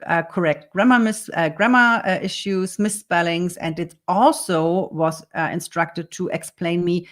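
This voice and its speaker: A woman with a German accent, a native German speaker, calmly talking through her answers in English as a copywriter teaching a workshop. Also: fairly low pitch, unhurried. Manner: earnest, explains step by step in second-language English. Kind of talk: dictated spoken answers in a workshop demo.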